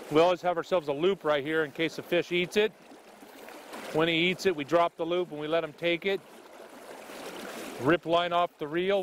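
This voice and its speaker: A man's voice: fairly high pitch, slight background noise. Patient middle-aged man guiding on a river. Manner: patient, explains step by step, checks in with "okay?". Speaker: fishing guide and instructor. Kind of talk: on-the-spot fly-casting instruction. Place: outdoors, wading in a river.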